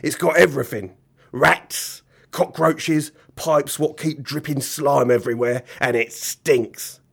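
A man voicing a fierce, bossy, lazy old storybook villain with barking, rough colloquial speech. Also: mid-range voice, fairly even intonation, takes it slowly, fairly bright tone, heavily acted. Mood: gleeful.

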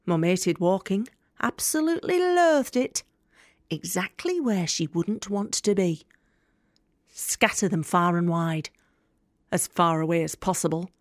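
Bereaved daughter, wry and deadpan, clipped phrases, audio drama monologue, dry close recording. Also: female voice, mid-range voice, no room sound. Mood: grieving, wry. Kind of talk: confessional monologue in an audio play.

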